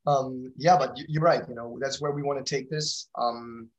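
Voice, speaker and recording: A middle-aged man with a German accent speaks as a guest in a relaxed interview. His pitch is average for a man. He talks in a halting way, feeling for his words as he goes. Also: rough recording. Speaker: podcast guest, company founder. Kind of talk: casual interview chat.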